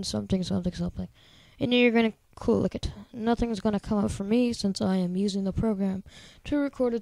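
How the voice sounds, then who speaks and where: Chatty male tutorial host, squeaky high voice with a soft, mellow edge, dry close sound. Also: creaky, dry recording. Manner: rambling, chatty, lots of filler words. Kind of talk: casual screen-recording tutorial.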